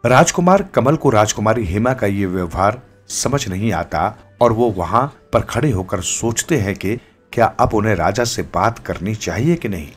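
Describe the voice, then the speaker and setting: Man, storyteller, scripted tale narration, breathy husky tone, music underneath. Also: fairly low pitch, animated, moderate pace.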